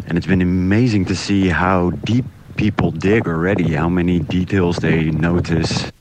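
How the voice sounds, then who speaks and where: A man from the Netherlands recording a casual spoken diary, pleased and hesitant, with frequent pauses. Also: deep voice, fairly even intonation, background noise. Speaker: audio drama creator.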